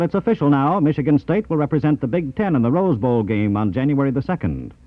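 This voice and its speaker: An American man reading scripted news and sponsor messages in a formal, measured 1950s radio announcer style. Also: mid-range voice, no echo. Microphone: dry radio studio microphone. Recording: tinny, band-limited 1950s radio tape.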